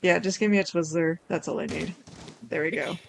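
A woman's voice: lowish voice, steady tone, a little fast, vocal fry, a little hiss or hum. A woman co-hosting a gaming video, commenting casually with joking, mock-dramatic delivery. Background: music.

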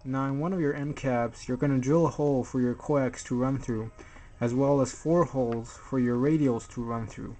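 A man presenting a hands-on DIY tutorial in an informal, halting way, correcting himself as he explains. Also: medium pitch, steady tone, dark tone, a little hiss or hum.

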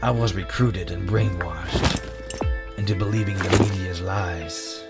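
A man playing a weary, guilt-ridden survivor in a cinematic zombie roleplay, with a brooding, deliberate delivery. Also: deep voice, fairly even intonation. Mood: bitter, aggrieved. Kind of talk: cinematic roleplay narration and dialogue. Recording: loud music bed behind voice. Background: loud music.

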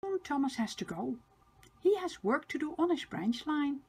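An older woman narrating a picture book for small children, expressive and emphatic with sing-song repetition. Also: high voice, normal speed, slight background noise. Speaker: storybook reader for children.

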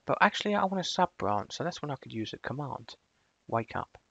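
A man presenting a screencast software tutorial, switching between casual explanation and clipped spoken commands. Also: medium pitch, big pitch swings, a little fast, vocal fry, breathy edge, dry recording, highs cut off.

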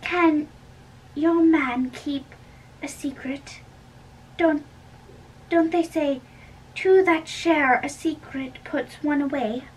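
Woman acting fussy old stage nurse, chatty, devoted, high voice, slow dramatic delivery. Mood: confiding. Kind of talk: amateur dramatic reading of a play. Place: small bedroom. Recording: distant mic, room noise.

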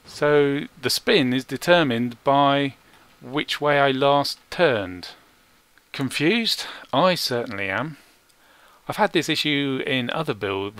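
Measured man commentating, dry close recording. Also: mid-range voice, a bit bright, clean tone, no room sound. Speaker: gaming video creator. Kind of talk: video game commentary over gameplay.

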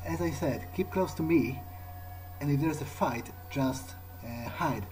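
A man playing a video game and speaking in character, in a halting way that repeats words. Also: fairly high pitch, darkish tone, low-quality recording. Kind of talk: in-character roleplay game commentary. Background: game music.

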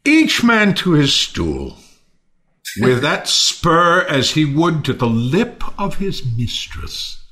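Male actor as embittered noble host, declamatory Shakespearean style; bright, forceful, deliberately slow. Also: a little high, wildly swooping pitch, heavily acted. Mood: solemn, sardonic. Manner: declamatory, theatrical. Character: bitter Athenian lord, mock-gracious host turned raging misanthrope. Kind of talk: Shakespearean audio play reading.

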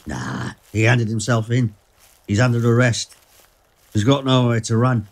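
A man's voice: mid-range voice, a little slow. A man playing a stern, dismissive senior police officer in an audio drama, with a curt, authoritative manner.

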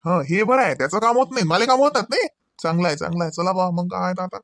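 Performer as sarcastic, grumbling cartoon husband. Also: male voice, high-pitched, fast, a bit bright, dry recording, studio quality. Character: grumbling, teasing middle-class husband. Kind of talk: comedy cartoon voice acting.

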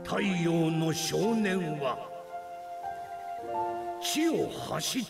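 A man's voice: fairly high pitch, lively intonation, gravelly, heavily acted. A man playing a solemn, portentous storyteller narrating a ninja war saga in a game. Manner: portentous, measured delivery. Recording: echoing voice under loud music.

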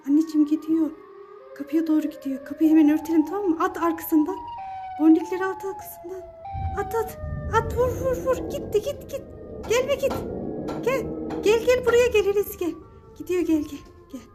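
Very high-pitched mother playing with her child. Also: lively intonation, moderate pace, long pauses, music in the background. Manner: exclamatory, repeats short urgings. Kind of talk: playful home skit with child.

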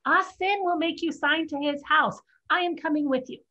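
A woman's voice: sits high, moderate pace, bright, forceful tone. A woman acting a practical, defiant young woman in a staged drama reading over a video call. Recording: dull video-call audio.

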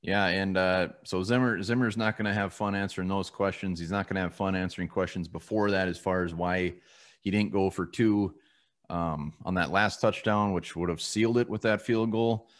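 A man's voice: low-pitched, fairly even intonation, moderate pace. A man co-hosting a casual postgame sports show from a home room over a video call, blunt and casually swearing as he runs through stats. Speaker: co-host of a sports fan show.

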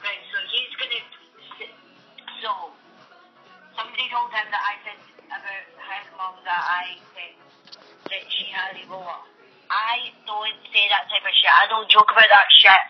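A man's voice: sits high, steady tone, moderate pace, faint music behind. Aggrieved young man on a muffled phone. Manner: aggrieved, protesting, sweary. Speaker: prank call victim on the phone. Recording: muffled phone call over speaker.

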